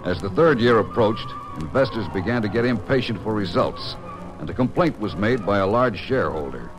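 Low-voiced man narrating over music. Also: expressive, brisk, rough recording. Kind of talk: 1950s radio drama scripted narration. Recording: old radio broadcast recording. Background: dramatic music.

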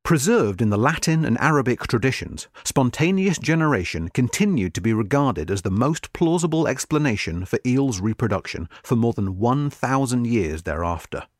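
A man narrating a scripted history magazine article in a measured, articulate way. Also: medium pitch, sing-song, dry recording, clean studio sound.

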